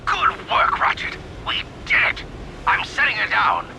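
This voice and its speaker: An actor playing a serious alien general and mentor, earnest and deliberate, in a video game cutscene. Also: male voice, sits high, wildly swooping pitch, gravelly, heavy background noise, full character voice. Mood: approving.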